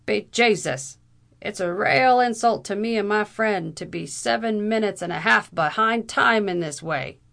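A man's voice: high-pitched, measured pace, creaky, bright, forceful tone, heavily acted. A woman voicing a fierce, blustering Irish army captain in a storybook reading, vociferous and indignant. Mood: furious indignation.

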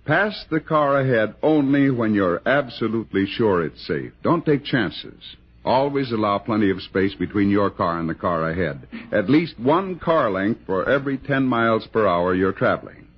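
A man's voice: medium pitch, breathy edge. An American man reading a scripted, emphatic public safety announcement on old-time radio. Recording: dull old radio broadcast recording.

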